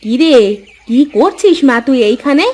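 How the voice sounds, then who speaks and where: A woman's voice: sits high, animated, normal speed, a little mellow. A woman voicing a nagging, hardworking village mother in a moral-story cartoon, chiding and complaining as she talks. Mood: bossy.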